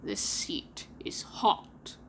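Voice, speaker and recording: A woman voicing a menacing one-handed male pirate captain who talks darkly, slowly and in a hoarse whisper in a storybook reading. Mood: fearful. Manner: dark, brooding, slow, growling and whispery.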